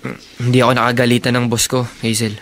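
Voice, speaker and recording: A man's voice: medium pitch, flat delivery, fast, a bit bright, slight background noise, close to an everyday voice. A man playing a resentful young working man and older brother in a radio drama, with a Filipino accent. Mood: downcast.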